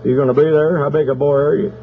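A man's voice: on the high side, fast, close to an everyday voice. A man playing an aggrieved, belligerent country husband on a prank phone call, with a Southern accent from Oklahoma and a folksy, rambling way of talking. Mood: threatening. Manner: folksy, rambling, deadpan menacing. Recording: noisy, tinny telephone line.

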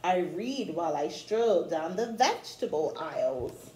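A middle-aged woman reading a storybook aloud to young children, with chatty asides, in an expressive, encouraging way. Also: on the low side, measured pace, a little hiss or hum. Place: small room at home, indoors. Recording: echoey small room.

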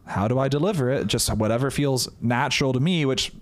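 A man's voice: medium pitch, brisk. Hesitant young man, a comedian guest. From Indiana. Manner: hesitant, restarts sentences. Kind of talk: casual chat. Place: small studio room, indoors. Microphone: studio mic with headphones.

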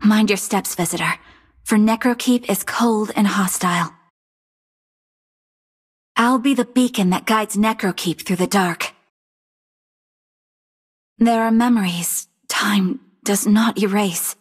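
A woman voicing a noble, sorrowful undead queen in a video game, with a breathy voice and a solemn, theatrical, regal delivery. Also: medium pitch, slow, pauses often. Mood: mournful, tender. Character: sorrowful, noble undead queen guarding her fallen kingdom. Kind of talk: video game hero voice lines.

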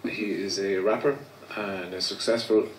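Man, low voice, stage host, handheld mic, echoey room, noisy background. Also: steady tone, unhurried. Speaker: MC and performer. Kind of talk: stage introduction and patter. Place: pub stage. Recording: echoey pub from far off.

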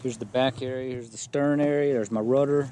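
A man's voice: medium pitch, brisk, slight background noise. A man casually walking through his fishing kayak setup outdoors in a yard, talking plainly with frequent fillers, recorded on an action camera. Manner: relaxed, plain-spoken, many fillers. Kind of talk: casual gear walkthrough.

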